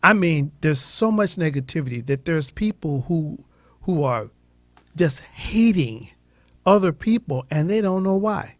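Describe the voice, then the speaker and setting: A man with a somewhat high voice chats casually as a radio host. He is affirming and likes to repeat short phrases. His voice sounds dry, with no room echo. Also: animated, measured pace. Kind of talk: casual conversational talk.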